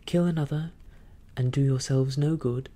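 A man's voice: medium pitch, moderate pace, dark tone, lightly acted. A man voicing Jim Hawkins, a brave young boy, declaiming boldly and defiantly. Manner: bold, declaiming. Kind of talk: audiobook reading.

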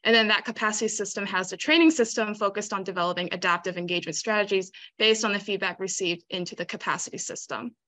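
A young woman giving a measured research presentation on a video call. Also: mid-range voice, pushed and bright. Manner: measured, explanatory. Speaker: student presenter. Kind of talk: conference presentation.

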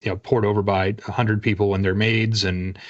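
Low-voiced man in a casual interview. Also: level tone, brisk. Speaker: guest, author. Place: indoor home room with bookshelves. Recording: dull, lo-fi video call audio.

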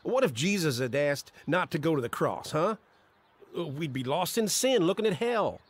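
An earnest, insistent believer pressing a friend in a radio drama. His voice is fairly high and swings with lively, exhorting intonation. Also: moderate pace. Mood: earnest urging.

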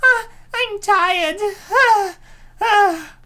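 Woman doing super high bright comic-dub office girl, bossy, greedy for snacks, totally over the top. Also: animated, normal speed, exaggerated voice. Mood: tired. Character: bossy, cookie-greedy young office lady growing fat.

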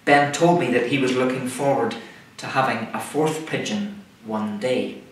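Echoey, middle-aged male preacher. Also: medium pitch. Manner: measured, deliberate delivery in short phrases. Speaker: minister preaching. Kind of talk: scripted sermon. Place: indoors, small room, seated at a table. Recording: echoey room, far microphone, faint noise.

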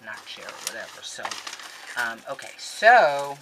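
Woman, 50s, low-pitched, excitable and rambling; home webcam sound with clear background noise. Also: measured pace. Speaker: scrapbooking vlogger. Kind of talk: casual chatty haul video. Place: craft room at home, indoors. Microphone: webcam at desk.

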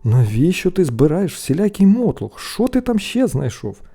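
Man acting exasperated elder peasant brother, scornful, nagging, raised pitch, animated delivery, storybook character. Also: a little fast, gravelly. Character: scolding, exasperated older peasant brothers, young men.

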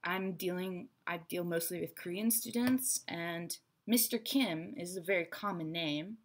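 Slow-talking woman teaching English to camera. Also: fairly low pitch. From Israel and America. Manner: chatty, frank, teacherly asides. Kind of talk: online English lesson to camera. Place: small bright room indoors. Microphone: webcam at desk.

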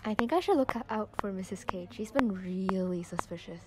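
A woman's voice: medium pitch, normal speed, close to an everyday voice. A teenage girl playing a cautious, watchful young survivor in an amateur audio drama. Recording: noisy amateur student recording.

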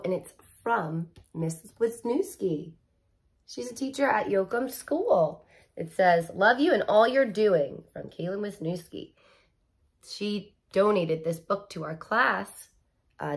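A woman in her thirties reading a picture book aloud like a teacher, expressive and playful, with casual asides and emphasis on key words. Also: lowish voice, vocal fry. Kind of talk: animated children's read-aloud with casual asides.

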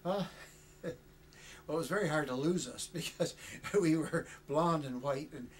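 An older man giving an oral history interview, talking in a rambling, hesitant way with many pauses and frequent chuckles. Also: a little high, a little hiss or hum. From Canada. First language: Chinese. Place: indoor studio with flag backdrop.

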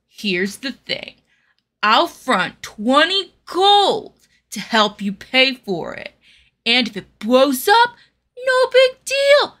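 A woman's voice: high-pitched, sharp tone, heavily acted. A woman voicing a chummy, laid-back young bro hustler with dude slang, for a fantasy game demo.

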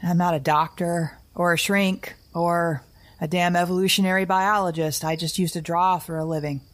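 A middle-aged actress playing an anxious, wry, weary survivor who records a halting, rambling diary in a naturalistic audio drama. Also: female voice, on the low side, fairly even intonation, no room sound, natural voice. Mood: uneasy, rambling.

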